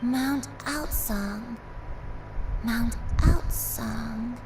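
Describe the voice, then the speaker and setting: A woman voicing a gentle, confused old grandmother in a video game, slow, hesitant and wistful. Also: on the high side, poor audio. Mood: distressed. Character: gentle, confused old grandmother who lost her memory. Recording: game music behind the voice.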